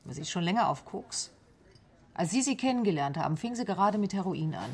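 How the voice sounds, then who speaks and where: A woman's voice: medium pitch, expressive, brisk, a little mellow, slightly rough. A woman acting in a radio play as a level-headed, pragmatic private detective, matter-of-fact and composed.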